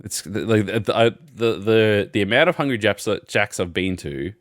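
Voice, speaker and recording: A man's voice: on the low side, normal speed, no room sound, studio quality. A young man co-hosting a casual podcast, telling rambling stories with lots of laughter. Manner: rambling, animated storyteller, frequent laughs. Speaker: podcast co-host. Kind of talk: casual chat.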